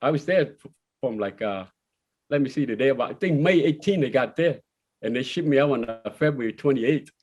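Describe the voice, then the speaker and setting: A man's voice: mid-range voice, animated, brisk, darkish tone, breathy edge. A man born in Laos and raised in Iowa, telling his own story in plain, informal speech with loose grammar. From born in Laos, grew up in Iowa. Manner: plain-spoken, non-standard grammar. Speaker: interview guest, former prisoner. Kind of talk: conversational personal account.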